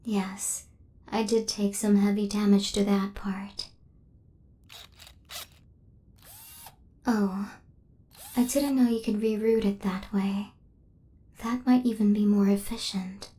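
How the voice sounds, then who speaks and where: A soft, breathy female killer robot, acted by a woman in an ASMR roleplay. The tone is dark and mellow, with very little rise and fall. The phrasing is formal and measured like a machine, yet tenderly possessive and devoted. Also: medium pitch, steady tone, natural voice. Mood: calm, analytical. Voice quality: soft, breathy half-whisper. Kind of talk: intimate ASMR roleplay acting.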